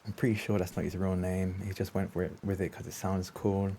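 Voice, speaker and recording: A man's voice: low voice, level tone, brisk, dark tone, a little hiss or hum. A man casually narrating his character's moves as a tabletop game player, hesitantly thinking aloud. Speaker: player in an actual play podcast.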